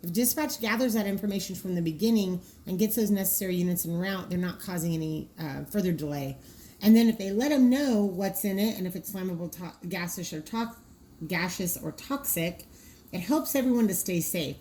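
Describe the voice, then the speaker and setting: A woman's voice: lowish voice, brisk, mellow. A woman giving an informal walkthrough as a trainer, practical and explanatory, often thinking aloud. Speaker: trainer presenting draft dispatcher training cards.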